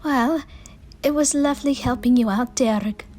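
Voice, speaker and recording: A woman playing a spirited companion of a time traveller, expressive, in a fan audio drama. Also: high voice, normal speed, natural voice. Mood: friendly. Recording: music behind the voice.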